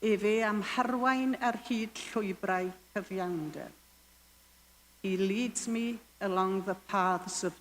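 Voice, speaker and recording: An older Welsh woman speaking to a congregation in a storytelling manner, slowing down to lean on key words. Her voice carries a long church reverb with a faint hiss underneath. Her pitch sits in the middle range for a woman. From Wales. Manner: storytelling, unhurried with slow emphatic phrases. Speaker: guest worship leader. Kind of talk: reflective sermon talk. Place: church sanctuary.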